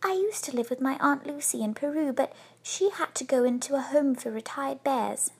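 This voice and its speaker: Woman voicing a small, high-pitched, polite young bear. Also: very animated, normal speed, exaggerated voice. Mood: earnest, wistful. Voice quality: small, clear voice. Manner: polite, self-important. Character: small young male bear, polite, proud, earnest stowaway. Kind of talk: children's storybook reading.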